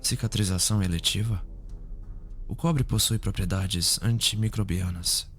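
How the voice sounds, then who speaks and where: Casting: male actor as young forensic investigator, lowish voice, Brazilian accent, formal and clinical, ASMR drama over music. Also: fairly even intonation, normal speed, a bit bright, natural voice. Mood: clinical, focused. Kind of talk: ASMR roleplay acting.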